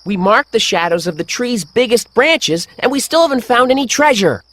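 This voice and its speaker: A man voicing a cautious, easily spooked boy sidekick in an animated storybook. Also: very high-pitched, normal speed, no echo, heavily acted. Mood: wary. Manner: hesitant, wary, easily spooked.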